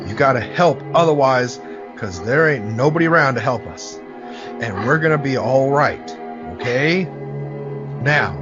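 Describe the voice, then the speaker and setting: Guy acting big gruff determined survivor in a horror audio play, talks real slow, dull recording w loud music. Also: medium pitch, natural voice. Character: big determined man defending a besieged farmhouse, gruff and protective. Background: loud dramatic music.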